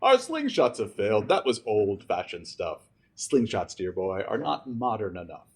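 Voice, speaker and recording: A man's voice: on the low side, sing-song, moderate pace, breathy edge, pitched below the performer's own voice, exaggerated voice. A man voicing a smug, reassuring storybook chief with a confident, patronizing manner.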